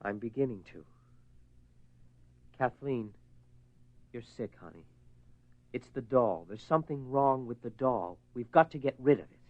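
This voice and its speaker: A man's voice: mid-range voice, lively intonation, a little slow, pauses often, lightly acted. A man playing an exasperated, short-tempered husband in a radio horror play, blunt and argumentative. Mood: worried, pleading. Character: exasperated, short-tempered husband, worried about his obsessed wife. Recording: dull lo-fi radio recording.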